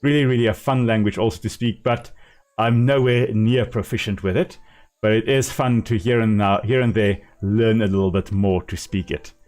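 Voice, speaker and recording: A man's voice: on the low side, normal speed, a little mellow. A man with a South African accent, a native Afrikaans speaker, chatting casually and explaining as he plays a game on a live stream.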